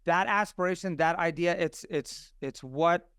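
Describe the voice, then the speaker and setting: A man talking casually on a podcast in an effusive, earnest and animated way. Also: a little high, a little slow, clear, resonant tone, no room sound, studio quality.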